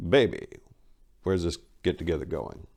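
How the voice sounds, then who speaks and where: A man voicing a sleazy, selfish smooth talker, slangy and casually dismissive, in a crime story reading. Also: deep voice, big pitch swings, a little mellow, heavily acted. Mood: flirty. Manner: slangy, casual, brisk brush-off.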